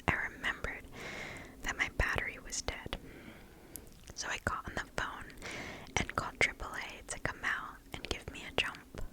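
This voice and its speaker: A woman reading a first-person story aloud in ASMR style, in a soft breathy whisper very close to the microphone, pausing deliberately between phrases. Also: rough recording. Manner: slow, deliberate, hushed delivery with pauses between phrases.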